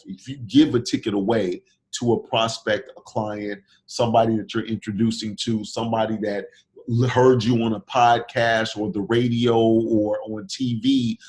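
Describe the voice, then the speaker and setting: A man hosting a group video call for speakers, pitching his event persuasively, repeating and restarting phrases while he recovers from illness and is losing his voice.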